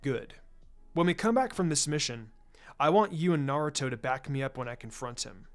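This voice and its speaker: A man's voice: mid-range voice, expressive, normal speed, faint music behind, voice pushed down, natural voice. A man voicing a brooding, impatient teenage ninja boy in a story reading, terse and serious. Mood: resolute. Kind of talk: storybook-style character reading.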